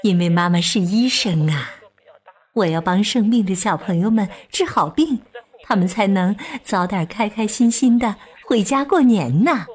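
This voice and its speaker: A woman playing a gentle, dutiful doctor mother with a soothing, earnest manner in a children's radio drama. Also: mid-range voice, animated, normal speed, natural voice. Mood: tender.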